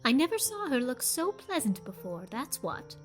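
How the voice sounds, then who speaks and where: A woman's voice: high-pitched, very animated, normal speed, soft background music, pitched above the performer's own voice. A woman voicing a stern, blunt older woman who speaks in a firm, emphatic way.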